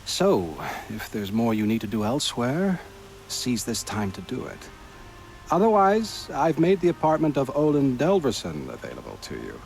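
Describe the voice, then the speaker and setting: A man voicing an urbane, drily witty court advisor in a video game, speaking formally and in measured phrases. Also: mid-range voice, wildly swooping pitch, vocal fry, a little mellow, a little hiss or hum. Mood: wry, composed. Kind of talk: video game cutscene acting.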